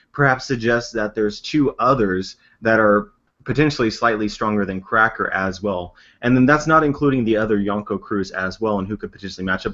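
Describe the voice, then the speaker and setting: A man from the United States hosting a casual group chat over an online call, talking in eager bursts with frequent restarts. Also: lowish voice. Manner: animated, talks in bursts with restarts. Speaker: stream host. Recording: dull lo-fi stream audio.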